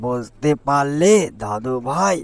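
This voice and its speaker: A man's voice: mid-range voice, expressive, slow, dry recording, voice pushed down. A man playing a kindly, jovial old grandfather in a cartoon, coaxing and reassuring. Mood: amused, reassuring. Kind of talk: cartoon character acting.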